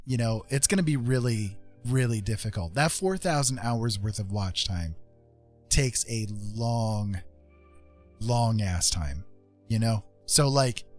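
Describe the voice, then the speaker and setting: A man chatting casually as a livestream host, explaining things in a rambling, emphatic way and repeating phrases for stress. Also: medium pitch. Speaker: streamer host. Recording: music playing behind the voice. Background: music.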